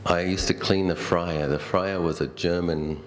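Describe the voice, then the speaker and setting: A middle-aged Australian man, a Buddhist monk, giving a conversational, reflective dhamma talk built on personal stories, with thoughtful pauses. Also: deep voice, steady tone, slight background noise.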